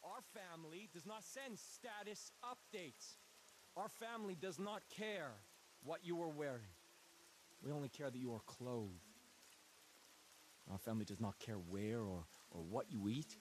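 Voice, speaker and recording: Actor as intense, deliberate cult leader preaching. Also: male voice, on the high side, a little hiss or hum, close to an everyday voice. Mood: solemn, fervent. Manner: deliberate, repetitive, rhetorical build-ups. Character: intense messianic male cult leader preaching to his flock. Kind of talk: dramatic sermon.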